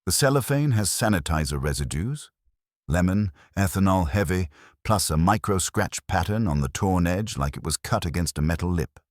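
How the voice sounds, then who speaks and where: A man's voice: low-pitched, no echo, clean studio sound. A man voicing a terse, businesslike private investigator in an audiobook, reporting in clipped, matter-of-fact phrases.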